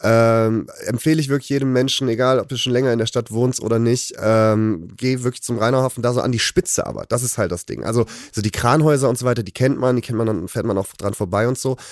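Brief: man, laid-back host, dry close sound, middle pitch. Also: quick pace, hardly any pauses, no echo. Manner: relaxed, hesitant with many fillers. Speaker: podcast host. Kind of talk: casual chat.

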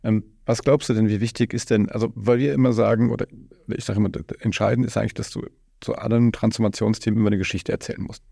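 Low, gravelly, mellow male podcast host. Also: fairly even intonation, quick pace, no echo. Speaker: podcast host interviewing a guest. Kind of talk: casual conversational podcast interview.

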